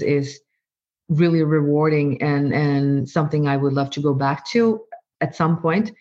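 A woman's voice: sits low, darkish tone. Woman, slightly muffled sound. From Norway. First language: Norwegian. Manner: thoughtful, repeats words while searching for phrasing. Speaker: guest, doctor. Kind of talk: conversational interview answers.

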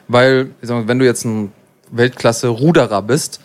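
A man's voice: mid-range voice, steady tone, moderate pace. Chatty male interview host. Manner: chatty, asks questions loosely with fillers. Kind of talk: casual interview.

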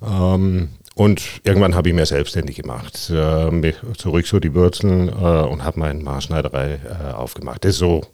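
Danish-accented middle-aged man, very low voice, dry close recording, easygoing hesitant talk. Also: no room sound. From Denmark. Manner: relaxed, halting with many fillers. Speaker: guest, custom tailor. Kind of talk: casual interview talk.

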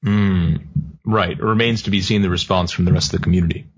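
A man's voice: deep voice, steady tone, fast talker, husky. A man in his thirties co-hosting a casual chat, making dry, wry remarks. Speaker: podcast co-host. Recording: muffled lo-fi audio.

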